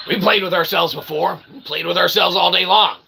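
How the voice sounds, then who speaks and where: Bright, gravelly man with mock-grandiose delivery. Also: sits high, brisk. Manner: mock-grandiose, wordy, self-deprecating. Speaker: livestream host. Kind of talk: rambling livestream monologue to chat. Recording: noticeable background noise.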